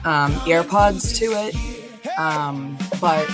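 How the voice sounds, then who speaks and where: A woman's voice: sits low, expressive, drawn-out words, over music. A woman hosting a live stream, chatting loosely and swearing casually as she sets up her screen. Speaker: livestream host.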